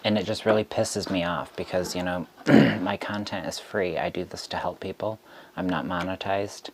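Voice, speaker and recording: A man who reads tarot, speaking straight to camera in a frustrated rant, deliberate and halting with frequent ums. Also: low-pitched, steady tone, noisy background. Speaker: tarot reader.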